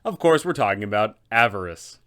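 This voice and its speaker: A man's voice: lowish voice, lively intonation, a little fast, a bit bright. A man narrating tabletop roleplaying advice in an explanatory style, as a game master.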